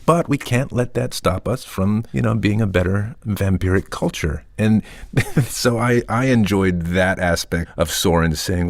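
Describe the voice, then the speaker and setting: A man's voice: deep voice, no room sound, studio quality. A man speaking informally as an actor in a panel discussion.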